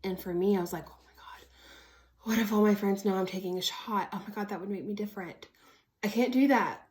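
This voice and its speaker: A woman's voice: medium pitch, fairly quick, a little hiss or hum. Female vlogger, early thirties, American. Mid-range voice, chatty and candid, speaking informally as if to followers. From Oklahoma, United States. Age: early 30s. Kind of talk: casual personal storytelling to camera.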